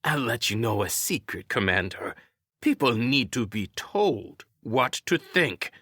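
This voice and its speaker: A man's voice: mid-range voice, sing-song, a little slow, sharp tone, no room sound, clean studio sound, pitched above the performer's own voice. A man voicing a pompous, condescending old schemer in an audiobook story. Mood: sneering. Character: sneering, imperious old man, scheming political rival.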